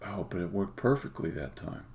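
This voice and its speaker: A man who develops games, talking casually and haltingly to himself as he live-codes on a stream. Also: low voice, lively intonation, darkish tone. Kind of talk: casual live-coding commentary, thinking aloud. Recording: faint background noise with keyboard clicks. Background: computer keyboard typing and clicking.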